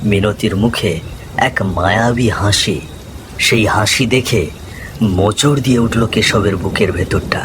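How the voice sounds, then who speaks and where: A man's voice: fairly low pitch, a little slow. A man giving scripted, dramatic narration of a ghost story as its storyteller. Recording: clear rain and thunder noise behind. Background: rain, thunder, ambience.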